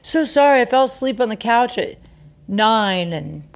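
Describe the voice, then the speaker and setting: A woman's voice: a little high, expressive, moderate pace. A woman podcast host, likely in her thirties, talking in a candid, chatty, self-mocking way and peppering her speech with "like" and "um.". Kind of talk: casual storytelling monologue with ad reads.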